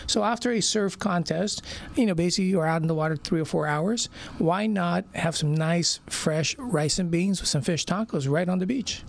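A man's voice: sits high, moderate pace, gravelly. A middle-aged man raised in Brazil, a restaurant owner chatting casually as a radio interview guest, with lots of "you know" fillers.

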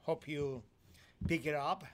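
A Romanian-born man speaking in halting, broken English with many fillers, giving a sermon testimony in a church. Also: on the high side. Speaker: guest preacher.

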